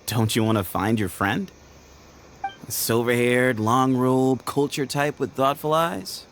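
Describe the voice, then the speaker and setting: A woman's voice: very deep voice, steady tone, a little slow, slight background noise. A woman voicing a sly, breezy, confident leader of a secretive group in a video game, teasing and casual.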